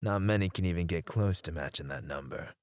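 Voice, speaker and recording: A man voicing a menacing male mercenary interrogator in an ASMR roleplay, speaking in a low, taunting way close to the mic, sometimes dropping to a whisper. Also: brisk, a little mellow, dry recording, natural voice. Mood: mocking.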